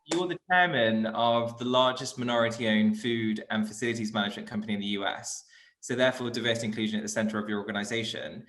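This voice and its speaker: A man with a bright, forceful voice hosts a video call panel. He talks in a chatty, encouraging way, keeping things moving between guests. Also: fairly low pitch, steady tone, fast. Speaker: panel host. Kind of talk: online panel moderation.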